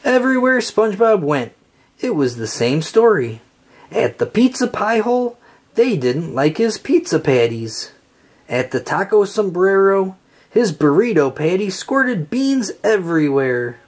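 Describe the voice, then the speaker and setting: An expressive reader narrating a children's story aloud and acting out the dialogue. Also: medium pitch, measured pace.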